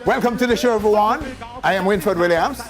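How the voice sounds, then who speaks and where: A male television host with a Jamaican accent presents in a notably high voice. Music plays clearly behind him as he reports in broadcast style. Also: quick pace.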